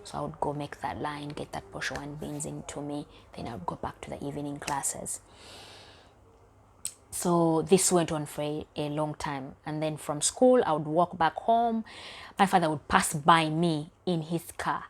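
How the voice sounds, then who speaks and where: A young woman from Uganda speaks in a low, dark-toned voice, recounting her own story for her channel. She talks candidly and often sinks to a near whisper, with a faint hum of room ambience behind her. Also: steady tone, normal speed, creaky. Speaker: vlogger. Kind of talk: personal storytelling vlog.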